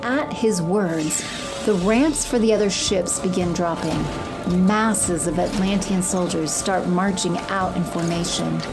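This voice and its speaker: Woman narrator over music. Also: medium pitch. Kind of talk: scripted dramatic narration.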